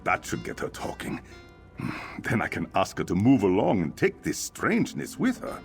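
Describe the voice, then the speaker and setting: Nervous innkeeper with wildly swinging pitch. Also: male voice, wildly swooping pitch, normal speed, creaky, soft background music, full character voice. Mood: uneasy, chatty. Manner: stammering, nervous hesitations and sighs. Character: nervous male innkeeper, flustered but chatty.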